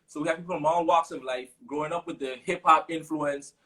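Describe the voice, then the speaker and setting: A man in his thirties from Sint Maarten with a Caribbean English accent, a guest telling stories in a relaxed interview, with plenty of fillers. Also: fairly high pitch, a little fast, poor audio. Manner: relaxed storytelling with many fillers. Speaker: guest musician. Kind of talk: casual interview chat.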